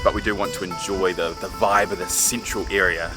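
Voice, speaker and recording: A man's voice: deep voice, steady tone, a little fast, poor audio. A man chatting casually and enthusiastically as a playful travel vlogger. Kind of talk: casual vlog chat. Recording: loud music behind the voice.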